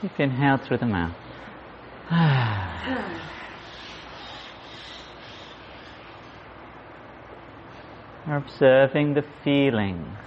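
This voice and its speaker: A man guiding a meditation in a gentle, unhurried manner, leaving long pauses. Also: mid-range voice, expressive. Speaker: meditation guide. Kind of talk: guided meditation. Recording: muffled audio with clear background noise.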